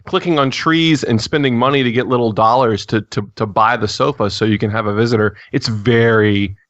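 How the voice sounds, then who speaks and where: Ranting podcast host, dry dull sound. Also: male voice, mid-range voice, a bit bright, no room sound. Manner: ranting, swearing, quick asides. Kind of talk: casual chat.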